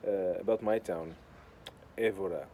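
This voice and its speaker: Mellow low-voiced Portuguese man, sardonic, noisy background. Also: unhurried. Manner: sardonic, deadpan, sweary. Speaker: video host. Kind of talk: casual rambling talk to camera. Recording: clear outdoor urban construction noise. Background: noise of building works.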